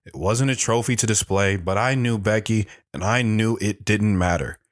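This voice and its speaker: A man's voice: lowish voice, normal speed, dry recording, clean studio sound, natural voice. An actor playing an older, reflective, world-weary man telling his life story in an audio drama.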